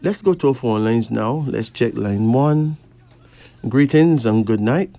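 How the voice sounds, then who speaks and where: A man from Barbados with a Caribbean accent, hosting a late-night radio show in a measured, deliberate way with pauses between phrases. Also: mid-range voice, expressive, dark tone, dry recording. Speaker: radio host giving readings to callers. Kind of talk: late-night radio talk, slow monologue and caller chat. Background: faint music.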